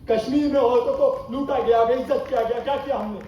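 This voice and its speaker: A man's voice: fairly even intonation, fast, dull audio. A young man acting an embittered, lustful Indian army captain in a stage play, theatrical and menacing, recorded from far off in a very echoey, noisy hall. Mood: angry. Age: young adult. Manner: theatrical, swinging from low insinuation to shouted outbursts. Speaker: student stage actor. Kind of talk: stage play acting in a one-act drama.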